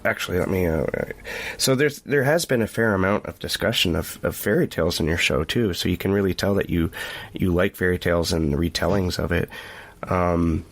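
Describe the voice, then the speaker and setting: Low-voiced man hosting, halting delivery. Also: dry recording. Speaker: podcast host, interviewer. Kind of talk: casual interview chat.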